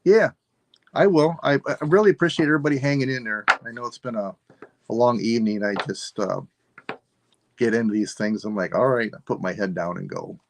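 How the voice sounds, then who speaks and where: A man of sixty-five, hosting a live sale and signing off in a relaxed, rambling way while losing his voice. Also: mid-range voice, a little fast, gravelly, a little hiss or hum. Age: 60s. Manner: relaxed, rambling, self-deprecating. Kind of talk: casual live stream sign-off.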